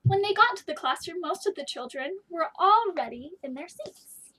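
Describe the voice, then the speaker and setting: A woman teacher reading a story aloud to children, pausing to put questions to her listeners. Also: very high voice, lively intonation, sharp tone.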